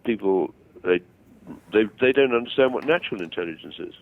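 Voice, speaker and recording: An older man explaining his views over the phone, self-assured but halting and digressive. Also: lowish voice, animated. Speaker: phone-in guest. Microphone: telephone. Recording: tinny narrow-band phone call.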